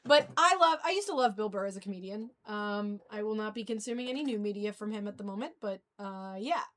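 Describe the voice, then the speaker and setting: A woman hosting a livestream in a casual, rambling fan chat, halting and often trailing off mid-thought. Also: medium pitch, lively intonation, fairly bright tone. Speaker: livestream host.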